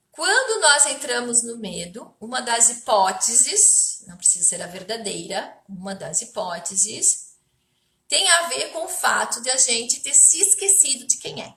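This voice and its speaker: Bright, high-voiced Brazilian woman, slightly creaky. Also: roomy sound. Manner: explanatory, halting, with rhetorical questions and tag questions. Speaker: therapist hosting a livestream. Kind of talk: spiritual self-help talk to live viewers.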